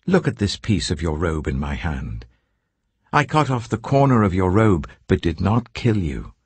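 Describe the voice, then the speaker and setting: A man's voice: fairly low pitch, darkish tone, husky, no room sound, voice pushed up, full character voice. A man voicing an earnest, wronged young warrior pleading with his king in an audiobook, declaiming with passion. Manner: declaiming, impassioned.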